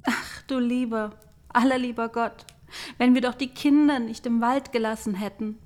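A woman's voice: a little high, steady tone, moderate pace, darkish tone, natural voice. A woman playing a poor, remorseful mother who wails and laments in a fairy-tale audio play. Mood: wailing, remorseful. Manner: wailing, lamenting. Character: poor basket maker's wife, remorseful anxious mother.

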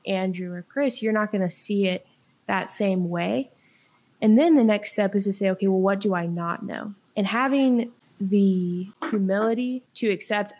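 A woman's voice: medium pitch, darkish tone, low-quality recording. A young woman who grew up in China, earnestly explaining her views as a podcast guest. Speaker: podcast guest, workshop leader. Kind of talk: podcast discussion.

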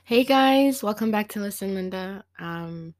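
A woman in her twenties, slightly hoarse after an illness, hosting her own podcast in a chatty, slangy way with many fillers and small laughs. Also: mid-range voice, lively intonation, a little slow, darkish tone. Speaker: podcast host. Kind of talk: casual solo podcast chat.

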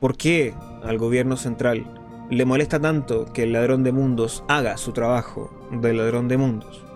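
A man playing a conspiratorial, musing pirate radio host in a radio drama, delivering theatrical monologues full of dramatic pauses. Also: mid-range voice, steady tone, a little mellow, faint music behind, natural voice. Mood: hesitant, pondering.

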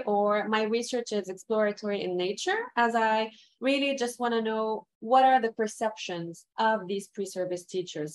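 A young woman from Lebanon whose first language is Arabic, giving thoughtful, self-correcting answers as a guest researcher in an interview. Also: fairly high pitch. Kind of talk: academic interview.

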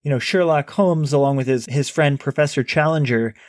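A man's voice: a little high, moderate pace, no room sound, studio quality. A man co-hosting a book podcast, chatting casually with many fillers and restarts. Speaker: podcast co-host.

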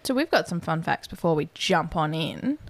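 A woman's voice: deep voice, expressive, normal speed, no echo. A woman with an Australian accent co-hosting a horror movie podcast, chatting in a wry, easy way and laughing often. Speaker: podcast co-host. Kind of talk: casual banter.

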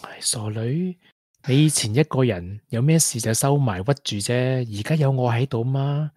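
A man's voice: mid-range voice, normal speed, darkish tone, no echo, clean studio sound, lightly acted. An actor with a Hong Kong Cantonese accent playing a caring, playful young man in a radio drama, with a coaxing, colloquial manner. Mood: tender, teasing. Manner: coaxing, colloquial, with English interjections. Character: caring, playful, persistent young man wooing a grieving colleague.

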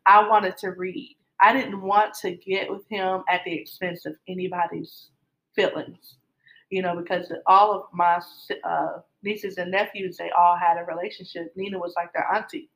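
A woman's voice: fairly low pitch, a bit bright, rough recording. A middle-aged woman casually telling her love story, rambling with many fillers and restarts.

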